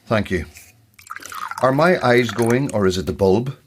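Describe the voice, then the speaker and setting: A man's voice: medium pitch, a little slow, background noise, lightly acted. An actor playing a sardonic, irritable man, a needling sceptic in a naturalistic audio drama. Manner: sarcastic, needling. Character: sardonic, irritable male sceptic named Edward.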